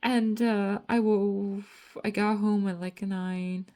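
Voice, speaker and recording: A young woman from Latvia with a Latvian accent, vlogging in a slow, halting ramble full of fillers and soft laughs, tired after a night shift. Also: medium pitch, fairly even intonation. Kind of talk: rambling casual monologue.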